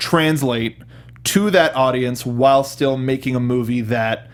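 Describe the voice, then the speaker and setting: A man's voice: mid-range voice, measured pace. A man hosting a casual film review discussion, talking like an enthusiastic fan. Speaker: talk show host.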